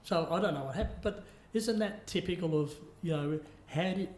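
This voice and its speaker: A man's voice: on the high side, moderate pace, darkish tone. A man interviewing on a podcast in an informal, rambling, conversational way. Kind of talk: casual conversational interviewing with anecdotes. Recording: echoey room.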